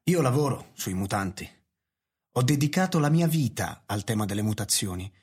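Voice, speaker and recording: An intense scientist in a radio drama. His husky, medium-pitched voice carries bitterness. He sounds like a man devoted to his research. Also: expressive, moderate pace, a little mellow. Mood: intense, bitter. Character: intense, embittered male researcher. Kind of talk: radio play acting.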